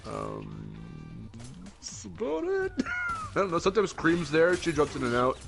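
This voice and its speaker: A man's voice: on the high side, wildly swooping pitch, unhurried, vocal fry, over music. A young man with a groggy morning voice, chatting casually as a podcast co-host, rambling and correcting himself, with the odd swear word. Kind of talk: casual chat.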